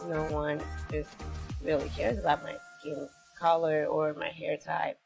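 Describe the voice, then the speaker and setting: A 21-year-old fashion model speaking in an interview in a matter-of-fact way. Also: female voice, sits low, level tone, a little slow, gravelly, over music. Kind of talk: interview testimony.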